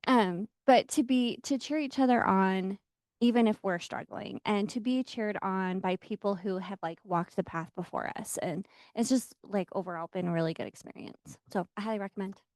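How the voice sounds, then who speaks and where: Woman gushing a bit, lots of "like", warm mellow tone, dry. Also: mid-range voice, moderate pace, no room sound. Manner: rambling with frequent "like" fillers, enthusiastic. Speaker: team member sharing. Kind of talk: casual sharing at a meeting.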